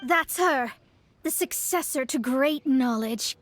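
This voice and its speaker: A woman's voice: high voice, slow, slight background noise. A young woman voicing a proud, self-assured astrologist who speaks in a lofty, formal way in a game. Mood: haughty. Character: proud young female astrologist, haughty and dignified. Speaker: astrologist character. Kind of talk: game voice acting.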